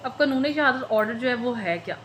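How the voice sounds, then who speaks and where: Higher-pitched Pakistani woman teaching, noisy recording. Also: expressive, fairly quick, a bit bright. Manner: code-switches between Urdu and English legal terms. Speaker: law teacher. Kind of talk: informal lecture explaining a legal text.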